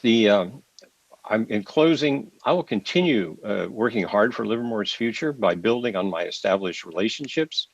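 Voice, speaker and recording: An older man, a city council member running for mayor, giving a measured, formal opening statement with frequent fillers from a home study over a video call. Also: fairly low pitch, lively intonation, dry recording. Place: home study lined with bookshelves. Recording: dry, muffled video call audio.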